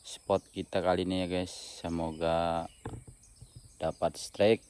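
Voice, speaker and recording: Slow deep-voiced man vlogging outdoors. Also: level tone, darkish tone, slight background noise. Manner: chatty, keeps saying "guys", murmurs while fishing. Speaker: fishing vlogger. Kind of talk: casual outdoor vlog commentary. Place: outdoors by a small ditch in plantation.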